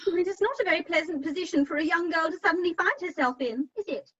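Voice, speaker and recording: A woman's voice: very high-pitched, fast, a bit bright. A woman acting a sweet, prim, girlish young Victorian lady in a stage comedy. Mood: dismayed, prim. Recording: dull lo-fi remote call sound.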